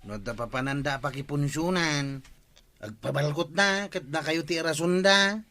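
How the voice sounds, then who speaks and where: Down-to-earth village nephew, lightish voice. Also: male voice, on the high side, moderate pace, sharp tone. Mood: matter-of-fact. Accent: Ilocano. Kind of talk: Ilocano radio comedy drama acting. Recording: music under the dialogue.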